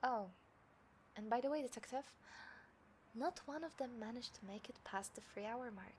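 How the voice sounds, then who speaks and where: A smug female killer played by a woman toys with her prey in an audio drama. Her voice sits fairly high and carries a deliberate, taunting menace. Noticeable ambient noise runs behind her. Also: darkish tone, close to an everyday voice. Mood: quiet menace. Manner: smug, menacing, deliberate, dropping into breathy whispers. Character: taunting, confident female serial killer hunting a detective. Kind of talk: scripted audio roleplay addressed to the listener. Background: city ambience sound effects.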